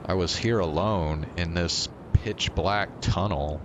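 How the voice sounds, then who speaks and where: A man's voice: deep voice, slow, noisy background. A male streamer reading a visual novel aloud and talking to viewers as he goes. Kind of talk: live read-aloud narration of a visual novel.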